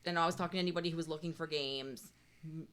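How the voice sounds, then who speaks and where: A woman with a slightly low voice talks as a game designer being interviewed. She explains in a casual, conversational way, chatty and given to acting out little quoted asides. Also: steady tone, quick pace, fairly bright tone. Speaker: board game designer, guest.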